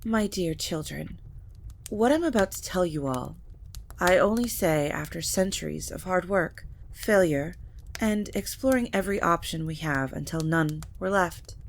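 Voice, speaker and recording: A woman playing a stately ancient vampire matriarch in an audio drama, speaking in a formal, measured, solemn way. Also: lowish voice, animated, slight background noise. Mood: grave. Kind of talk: scripted audio drama acting.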